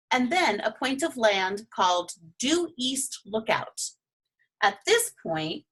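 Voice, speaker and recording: A woman narrates a children's storybook with expressive, animated intonation. Her voice is slightly husky, and she lingers on the ends of phrases. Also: medium pitch, rough recording. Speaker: storybook reader.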